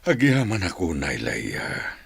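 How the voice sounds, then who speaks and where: A devoted suitor in an Ilocano radio play. His voice is very deep and gravelly, swinging expressively, with an Ilocano accent. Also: wildly swooping pitch, a little slow, heavily acted. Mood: wistful, pleading. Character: devoted, obliging suitor of a woman. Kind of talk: Ilocano radio drama acting.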